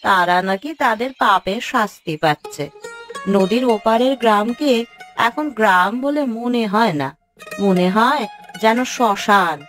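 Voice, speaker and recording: A woman's voice: mid-range voice, a little slow, fairly bright tone, soft background music, lightly acted. A woman playing a concerned village housewife who talks solemnly and with sympathy in a cartoon fairy tale. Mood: grave, gossiping. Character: concerned village housewife gossiping gravely about neighbours.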